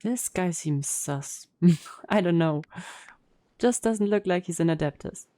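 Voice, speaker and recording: A woman's voice: on the low side, slow pace, dark tone, no echo, studio quality. A woman giving casual, wry and sceptical commentary as she plays and reacts to a game. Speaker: streamer playing and reacting to a game.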